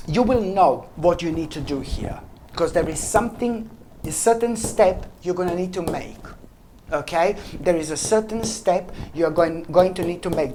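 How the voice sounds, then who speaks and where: A woman with a fairly low voice reads tarot cards for her viewers. She is effusive and repetitive, and she keeps calling the listener endearing names. A faint hum sits under the recording. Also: darkish tone. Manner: effusive, repetitive, addresses listener as "my gorgeous" and "baby". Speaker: tarot reader. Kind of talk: weekly tarot reading to camera.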